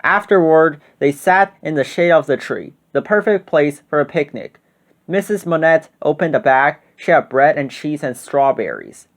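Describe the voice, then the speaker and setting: Male storybook narrator, slightly higher-pitched than average, expressive read-aloud for children. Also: moderate pace. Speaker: storybook reader.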